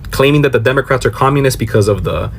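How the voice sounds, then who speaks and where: A man's voice: mid-range voice, fast, creaky, slight background noise. A man from Miami with an American accent giving emphatic explanatory commentary, asking questions and answering them himself. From Miami, Florida. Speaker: commentator on a social media reaction video. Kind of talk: explanatory political commentary to camera.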